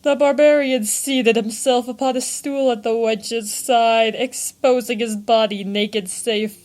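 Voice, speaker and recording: A woman reads a story aloud in a fairly high voice. Her delivery is theatrical and savours every ornate phrase, in the manner of a dramatic storybook narration. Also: steady tone, measured pace, a little mellow.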